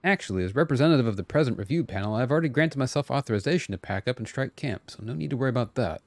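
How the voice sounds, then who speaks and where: A man voicing a fussy, long-winded engineer from a video game, officious and wordy with hesitant pauses. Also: medium pitch, expressive, no room sound, lightly acted. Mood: officious, earnest. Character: fussy, long-winded, bureaucratic engineer reading out repair plans.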